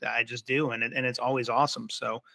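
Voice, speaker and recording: A man's voice: medium pitch, level tone, quick pace, vocal fry, pushed and bright, no echo. A man hosting a podcast interview, chatting casually and affirming his guest with upbeat remarks. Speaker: podcast host.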